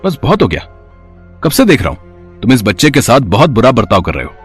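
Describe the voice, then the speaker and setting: Man playing a fatherly protector, very animated. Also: medium pitch, fast talker, husky, faint music behind. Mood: indignant. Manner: fatherly, emphatic. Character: kind-hearted, protective man befriending a poor boy. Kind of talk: acted cartoon story dialogue.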